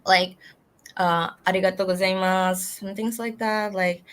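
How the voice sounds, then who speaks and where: A young woman with an Indonesian accent talks over a video call as a guest in an online chat. Her English is halting and stops often for fillers. Her voice sits at an ordinary middle pitch for a woman. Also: fairly even intonation, fairly bright tone, clean tone. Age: young adult. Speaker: guest student. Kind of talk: casual online interview.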